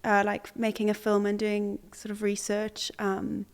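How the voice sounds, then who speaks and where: A woman's voice: medium pitch, monotone, measured pace, smooth. Hesitant young woman from Zimbabwe. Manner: hesitant, many fillers and restarts. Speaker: artist. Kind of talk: conversational interview.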